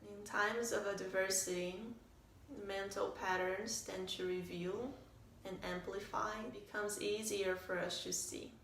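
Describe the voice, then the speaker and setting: A woman guides a meditation in a calm, gentle manner, with a level, barely varying melody and long pauses between phrases. She speaks very slowly, giving soft instructions. The recording is echoey, with faint noise around her voice. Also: medium pitch, creaky. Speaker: meditation teacher. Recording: roomy, distant mic, faint noise.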